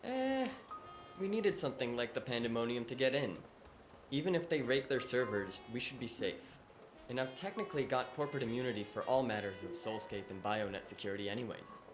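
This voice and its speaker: A man's voice: medium pitch, animated, fairly quick, over music, lightly acted. A man playing a flippant, sarcastic, cocky hacker in a cyberpunk audio drama. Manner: wisecracking, casual, sarcastic.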